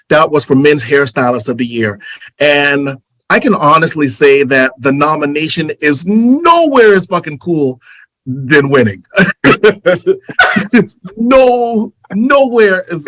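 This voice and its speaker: A man's voice: on the high side, fairly bright tone, no echo, low-quality recording. Laid-back American man from Detroit. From Detroit, USA. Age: middle-aged. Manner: laid-back, joking, casually swearing. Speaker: barber school director. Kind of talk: casual guest chat.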